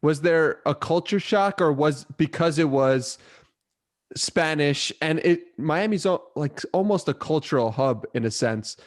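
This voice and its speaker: A man's voice: fairly high pitch, animated, a little slow. A man hosting a podcast, asking his guest long, winding questions. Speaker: podcast host. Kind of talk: interview questions.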